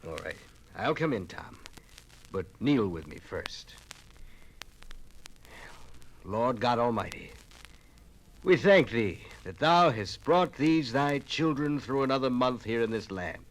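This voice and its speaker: An actor playing a kindly, devout circuit-riding pastor in a 1950s radio drama, solemn and measured. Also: male voice, mid-range voice, lively intonation, natural voice, low-quality recording. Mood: solemn, reverent. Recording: 1950s radio recording, faint noise.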